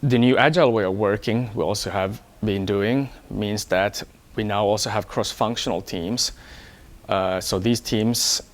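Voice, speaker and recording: Low-pitched man, measured and explanatory. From Hong Kong. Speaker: electronics engineer. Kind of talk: first-person employee profile talk.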